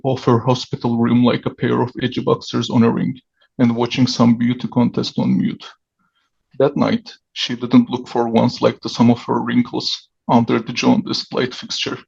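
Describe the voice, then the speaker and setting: A man whose first language is Turkish, reading his own short story aloud over a video call in a measured way. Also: mid-range voice, completely flat delivery, vocal fry, a little mellow, slightly rough. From Turkey. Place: dimly lit home room. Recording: dull lo-fi video call audio.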